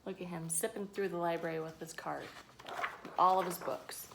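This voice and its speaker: A woman reading a picture book aloud to young children, expressively, pausing to ask them questions and add her own asides. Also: on the low side, steady tone, gravelly, noisy background. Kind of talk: read-aloud storytelling with asides to young listeners.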